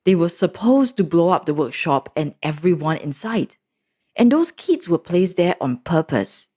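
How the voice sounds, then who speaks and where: Animated woman storyteller. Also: lowish voice, measured pace, slightly rough. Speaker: narrator. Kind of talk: storybook recap narration.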